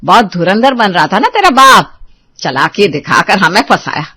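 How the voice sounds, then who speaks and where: A woman's voice: medium pitch, very animated, moderate pace, sharp tone, slightly rough, full character voice. A woman playing an accusing, domineering middle-aged mother-in-law in a melodramatic Hindi family drama, scolding with bitter sarcasm. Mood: furious, vengeful.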